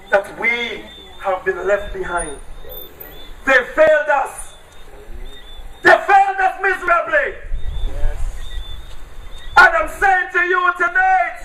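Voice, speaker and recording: A man with an Antiguan accent shouting a political campaign speech at an outdoor rally, hammering repeated rallying cries. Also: very high-pitched, a little hiss or hum. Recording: echoey, distant, loud crowd.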